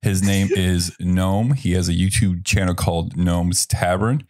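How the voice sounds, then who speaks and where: A man hosting a casual bartending podcast, his voice raspy after little sleep. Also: sits low, steady tone, a little slow, a little mellow. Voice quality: gravelly. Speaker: podcast host. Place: Iowa.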